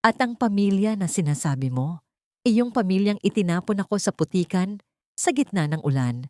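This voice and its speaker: A woman voicing a cold, vengeful company director with a cutting, deliberate delivery. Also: medium pitch, expressive, mellow, no room sound, studio quality, close to an everyday voice. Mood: cold anger.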